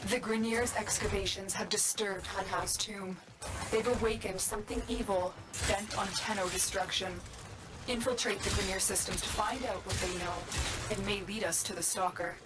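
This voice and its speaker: An actress playing a grave, anxious guide who commands warrior operatives in a video game, speaking in a solemn, measured way. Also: female voice, medium pitch, fairly even intonation, over music, lightly acted, rough recording. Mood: grave, urgent. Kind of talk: scripted video game voice acting.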